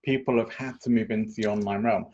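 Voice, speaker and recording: An English man, a presentation coach, answering interview questions in a measured way with some hesitant fillers. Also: lowish voice, steady tone, darkish tone. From England. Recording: dull lo-fi call audio.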